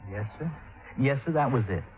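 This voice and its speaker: A man's voice: medium pitch, animated, moderate pace, close to an everyday voice. Man acting folksy young fisherman, tinny old radio. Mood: deferential. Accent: American. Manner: folksy, deferential. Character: polite, plain-spoken young fisherman, defensive country lad. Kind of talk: 1950s radio drama acting. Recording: phone-like vintage radio recording.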